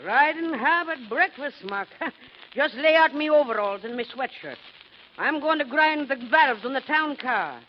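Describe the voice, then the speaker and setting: A man playing a brash, blunt, seagoing old tugboat woman in a 1940s radio comedy, rough and wisecracking. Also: very high-pitched, animated, measured pace. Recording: dull old radio recording, faint hiss.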